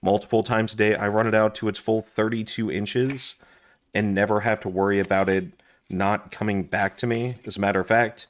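A man who is hoarse and losing his voice, chatting casually with lots of fillers while he reviews his gear at a desk indoors. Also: fairly low pitch, fairly even intonation, moderate pace. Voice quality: raspy. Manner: chatty, rambling, with frequent fillers and restarts. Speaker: gear review channel host. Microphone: overhead camera on desk, hands only.

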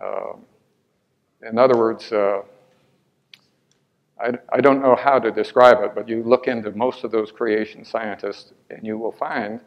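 A man's voice: on the low side, fairly even intonation, a little slow, long pauses, highs cut off. An older man answering audience questions after a lecture, hesitant with many fillers and restarts, on a lapel mic in a large hall.

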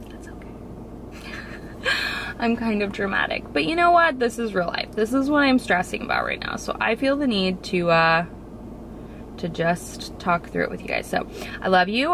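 A woman's voice: medium pitch, a bit bright. A woman in her thirties chatting to camera from a parked car, talking through her nerves and quoting the people around her in a self-deprecating way. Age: 30s. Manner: chatty, self-deprecating, quotes herself and others. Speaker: vlogger. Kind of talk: casual chat to camera. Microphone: camera on the dashboard. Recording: car interior with background noise.

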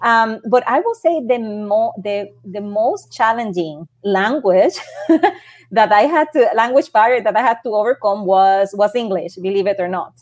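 A woman's voice: medium pitch, lively intonation, a little slow, a little mellow. A woman from Puerto Rico with a Puerto Rican Spanish accent, telling her career story as a panel guest over a video call, earnest and often restarting her words. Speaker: panel guest, space project manager. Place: home office, indoors.

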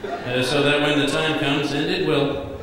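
A man in his thirties giving a best man's toast at a wedding reception, telling comic stories in a dry, deadpan way at a podium microphone. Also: on the high side, a little slow, crowd noise. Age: 30s. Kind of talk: wedding toast read from notes. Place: large banquet hall at a wedding reception. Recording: echoey banquet hall with reverb. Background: guests laughing.

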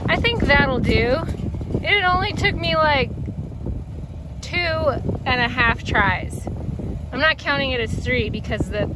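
Woman, thirties, high-pitched voice, unhurried, outdoor ranch vlog, noisy wind on the camera mic. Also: expressive. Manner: chatty, self-deprecating, talks to camera while working. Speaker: ranch vlogger. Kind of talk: casual vlog narration. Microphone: action camera on her person.

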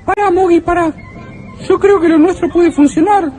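An actor playing a smitten, insistent young female schoolteacher in a comedic radio play, in a soft high voice. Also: moderate pace, some chatter behind. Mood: smitten, pleading.

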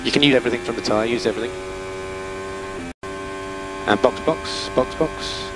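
Guy race engineer on noisy team radio, calm short instructions, says key calls twice, engine hum behind. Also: medium pitch, moderate pace. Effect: team radio transmission. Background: vehicle hum and noise.

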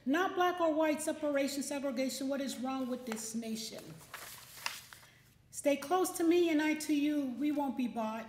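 A middle-aged woman speaks at a poetry event in a notably high voice. Her delivery is emphatic and declamatory, like someone reading to be heard. The microphone picks up a clearly echoey room. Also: a little hiss or hum. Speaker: poetry event host and poet. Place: indoor library event room. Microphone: microphone on a stand. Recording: roomy, echoey event space.

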